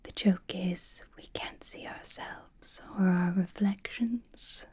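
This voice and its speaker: A woman playing an elegant, teasing female vampire noble in an ASMR roleplay, speaking in a soft, breathy whisper with a refined manner. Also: medium pitch. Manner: slow, refined, wryly teasing.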